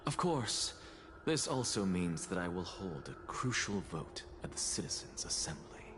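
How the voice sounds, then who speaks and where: A man voicing a haughty heretic scholar in a video game, speaking in an eloquent, measured, condescending way. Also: low voice, sing-song, a bit bright, slight background noise, heavily acted. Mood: cool calculation. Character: haughty male heretic scholar and academy leader.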